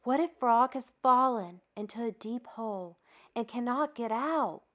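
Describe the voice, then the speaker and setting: A woman's voice: a little high, lively intonation, a little slow. A woman voicing an anxious, devoted male toad in a storybook, speaking with dramatic emphasis. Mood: worried, fearful. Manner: dramatic, emphatic worrying and questioning.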